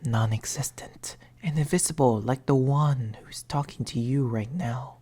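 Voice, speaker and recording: A woman voicing a patient, mournful male sleep paralysis demon in a hushed, formal ASMR roleplay, often whispering close to a binaural microphone. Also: very deep voice, unhurried, husky, full character voice. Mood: wounded, brooding.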